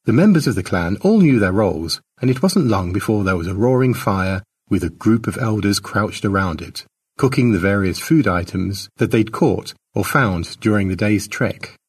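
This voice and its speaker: Husky low-voiced man reading a story. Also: lively intonation, normal speed, recorded from a distance. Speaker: author narrating own short story. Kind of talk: expressive audiobook narration.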